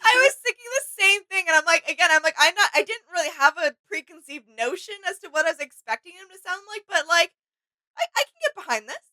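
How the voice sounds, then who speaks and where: A woman's voice: very high-pitched, expressive, quick pace, pushed and bright, dry recording, low-quality recording. A woman co-hosting a reaction video in an agreeable, earnest, casual chat.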